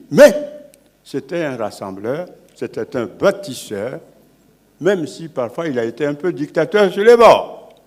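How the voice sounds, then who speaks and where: An older man from Guinea speaking as an elder guest on a public panel, oratorical and building to forceful declarations. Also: medium pitch. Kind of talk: impassioned speech at a public panel.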